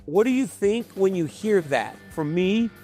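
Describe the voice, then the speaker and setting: High-pitched male interview host. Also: expressive, warm tone, soft background music. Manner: conversational, quick follow-up prompts. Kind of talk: casual interview questions.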